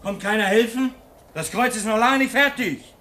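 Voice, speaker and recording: The commanding leader of a polar crew in an old radio play. His voice is fairly high and lively in pitch, with curt orders. The recording has a faint noise. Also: normal speed. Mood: impatient. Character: brisk, commanding man leading a polar station crew.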